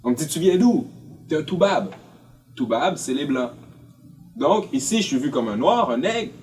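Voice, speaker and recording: A man's voice: fairly high pitch, sing-song, normal speed, darkish tone, far from the mic. A man with a Quebec French accent telling personal stories in an interview, expressively acting out remarks that he quotes. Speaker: documentary interviewee. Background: faint music.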